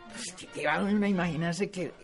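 An older woman with a Colombian accent telling anecdotes as an interviewee, animated, with halting repetitions and acted-out bits of dialogue. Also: fairly low pitch. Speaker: interviewee, veteran radio actress. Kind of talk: spoken reminiscence, anecdote telling.

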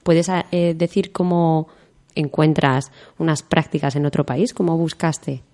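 A woman from Spain with a Madrid accent, hosting a podcast interview and speaking slowly and clearly for learners. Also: low voice, fairly even intonation, warm tone, dry recording, studio quality. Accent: Madrid Spanish. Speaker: podcast host and Spanish teacher.

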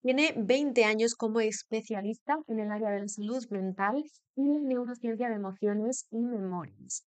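A woman's voice: fairly high pitch, moderate pace. A woman hosting an interview, formally introducing and welcoming her guest. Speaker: interview host. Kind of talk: scripted introduction.

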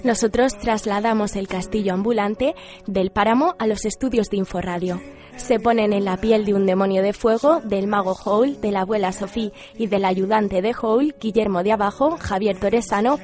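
Woman radio host reading an intro, medium pitch, quiet music under her. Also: moderate pace. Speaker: radio presenter.

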